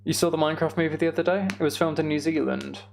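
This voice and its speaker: A young man giving casual, meandering gameplay commentary on a live stream, thinking aloud and chatting to viewers, with a creaky voice. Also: on the high side, steady tone, quick pace, soft background music.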